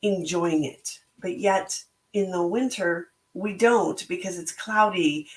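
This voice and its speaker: A middle-aged woman with a slightly hoarse voice, hosting a reflective solo talk in a slow, thoughtful, motivational way. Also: on the low side, echoey room. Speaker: podcast host and book club organizer.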